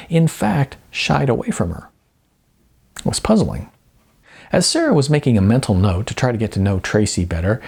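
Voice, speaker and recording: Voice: mid-range voice, darkish tone, breathy edge. A narrator reading a novel aloud as scripted storytelling. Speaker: audiobook narrator.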